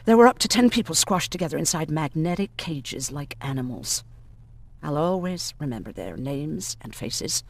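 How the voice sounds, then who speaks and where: A woman voicing a weary, reflective and quietly bitter outcast doctor who survived a camp, in a game. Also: sits low, expressive, moderate pace, gravelly, exaggerated voice. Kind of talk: game voice acting, confiding dialogue.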